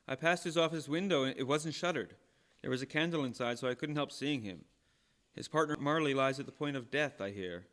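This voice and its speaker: A man's voice: mid-range voice, brisk, fairly bright tone, close to an everyday voice. An actor playing a mild-mannered husband talking to his wife in an old-time radio drama. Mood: quiet, matter-of-fact.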